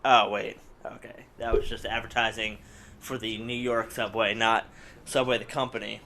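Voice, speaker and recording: A man who is sick with a raspy voice, playing a car combat game and rambling through casual commentary with lots of fillers. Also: fairly low pitch, normal speed. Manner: rambling, excitable, many fillers. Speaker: let's play gamer. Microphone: desk microphone at home.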